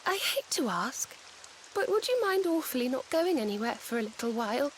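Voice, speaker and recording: An actress voicing a gentle, polite young woman in a video game, speaking in prim phrases with faint rain behind her. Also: high voice, sing-song, normal speed, a little hiss or hum. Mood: grieving. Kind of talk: dramatic video game voice acting.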